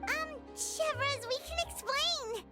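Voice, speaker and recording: A woman voicing a tiny, childlike, bubbly fairy companion with a squeaky voice in a video game, with music behind her. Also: measured pace. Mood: eager, nervous. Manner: refers to self in third person, exclamatory. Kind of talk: animated video game voice acting.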